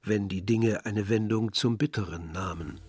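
An actor playing a reflective man narrating his village youth in a literary radio drama, measured and articulate. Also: on the low side, darkish tone, breathy edge.

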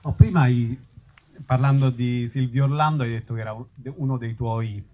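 A man's voice: medium pitch, fairly even intonation, normal speed. Man interviewing casually, muffled sound. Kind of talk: casual live interview questions. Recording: muffled, dull live recording.

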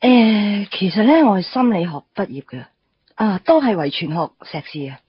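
A woman's voice: medium pitch, big pitch swings, normal speed, husky, no echo. An actress playing a confident, teasing young female graduate in a radio drama. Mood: explaining. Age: young adult. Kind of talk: Cantonese radio drama acting. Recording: dull radio drama recording with music.

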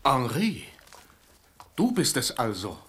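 A man's voice: medium pitch, huge pitch swings, takes it slowly, fairly bright tone, full character voice. A man playing a suspicious, fearful and imperious king in a German radio play. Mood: curt, imperious. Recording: roomy studio echo.

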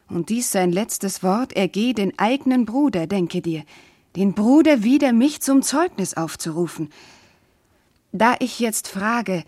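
A woman's voice: mid-range voice, darkish tone, no room sound, studio quality, close to an everyday voice. A woman playing a young, noble princess, anguished and bewildered, in solemn, fervent German classical verse radio drama.

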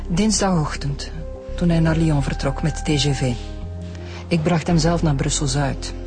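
Woman playing middle-aged Flemish wife, low female register, formal, firm, anxious and insistent, radio drama, clear music. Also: moderate pace, close to an everyday voice. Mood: worried. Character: mature married woman, anxious, indignant, insistent. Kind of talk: naturalistic radio drama acting.

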